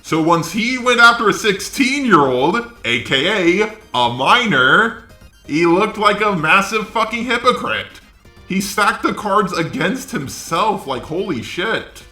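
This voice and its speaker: A man's voice: high-pitched, sing-song, slow, sharp tone, reverberant, soft background music. A man delivering blunt, sweary, opinionated commentary as a video host, often asking rhetorical questions. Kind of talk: opinionated drama commentary, talking to camera audience.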